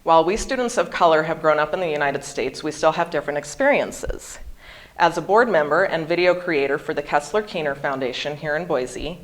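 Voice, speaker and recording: A woman in her early forties from Hawaii, giving a prepared seminar talk and pronouncing each word with care. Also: low-pitched. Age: 40s. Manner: careful, over-articulated pronunciation. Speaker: guest speaker.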